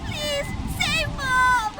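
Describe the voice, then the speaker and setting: A frightened little girl with an extremely high, piping voice. She pleads in slow, drawn-out words for her parents, a video game child nearly buried under loud music. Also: over a noisy crowd, full character voice. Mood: desperate pleading. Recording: loud music over the dialogue.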